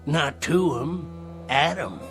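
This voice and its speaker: A man's voice: on the high side, big pitch swings, slow pace, fairly bright tone, soft background music, exaggerated voice. An actor playing a sly, boastful old country man with a folksy Southern American drawl in an audio drama. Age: older. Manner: folksy drawl, cackling, taunting. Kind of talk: old-time radio-style drama acting.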